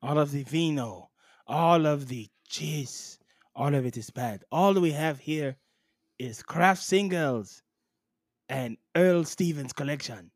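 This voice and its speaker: A man's voice: on the high side, slow, no echo, clean studio sound. A man voicing a pompous, aggrieved French basketball star with a French accent, dropping French words in theatrically. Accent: French, put on. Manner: theatrical, self-satisfied, peppers speech with French words.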